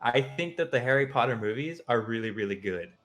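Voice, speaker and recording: A man's voice: mid-range voice, animated, a little fast, a bit bright. A man co-hosting a live stream, chatting in an informal, conversational way. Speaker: co-host. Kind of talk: casual chat on a live stream.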